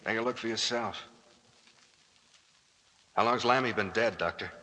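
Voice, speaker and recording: An actor playing a commanding, wry, tough captain who gives clipped orders and wisecracks in old-time radio style. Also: male voice, on the low side, a little slow, pushed and bright. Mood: probing, low. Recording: noisy old radio recording with clicks.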